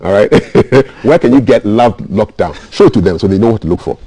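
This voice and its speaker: A man's voice: on the low side, lively intonation, fairly quick, dark tone, husky, no echo. A man with a Ghanaian accent hosting a television show, chatting with a guest and reading out viewer messages. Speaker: TV show host. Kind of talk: lively interview chat, reading out viewer messages.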